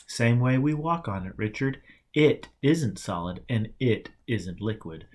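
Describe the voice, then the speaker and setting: Man reading as calm, teasing male mystic teacher. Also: mid-range voice, measured pace. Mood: calm, instructive. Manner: instructive, short commands.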